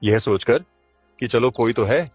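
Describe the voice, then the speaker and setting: A man's voice: mid-range voice, measured pace, no echo, soft background music, lightly acted. A man voicing a mysterious, solemn cursed kite bird in a cartoon story, speaking in an earnest, portentous way. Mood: earnest, relieved.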